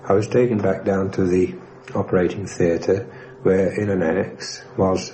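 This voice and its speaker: Low, dark-voiced man, even delivery. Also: normal speed, rough recording. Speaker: former RAF airman recalling his war experience. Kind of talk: documentary interview reminiscence. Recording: faintly hissy 1960s radio recording.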